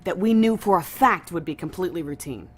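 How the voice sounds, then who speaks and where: A woman's voice: fairly low pitch, animated, brisk, breathy edge. A woman playing a loyal, foul-mouthed security deputy in a science fiction audio drama, speaking casually and colloquially, a little hesitant with her boss. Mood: tentative, pleading.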